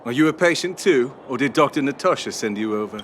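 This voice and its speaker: A man's voice: medium pitch, lively intonation, fast, a little hiss or hum, lightly acted. A man voicing a world-worn, cynical miner in a video game, blunt and guarded, with music underneath. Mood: dismissive.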